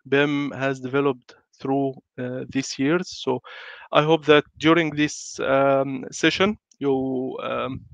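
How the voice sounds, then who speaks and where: A man speaks with a congested, flu-thickened voice, pausing often on fillers and restarting his sentences as he presents. The audio is dry and slightly muffled, like a lo-fi call recording. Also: medium pitch, creaky, dry recording. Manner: hesitant, many fillers and restarts. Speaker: guest speaker on building information modelling. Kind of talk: online webinar presentation.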